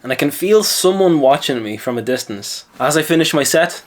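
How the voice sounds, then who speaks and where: A man's voice: a little high, animated, normal speed. A man from Lithuania with an Irish accent, a mindset coach telling a gym story in casual talk and then giving self-assured advice. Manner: chatty, self-assured, lecturing.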